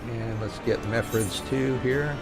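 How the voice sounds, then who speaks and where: A man's voice: medium pitch, measured pace, gravelly, loud music behind the voice. A man streaming a game, reading story dialogue aloud and chatting casually. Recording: steady noise behind the voice.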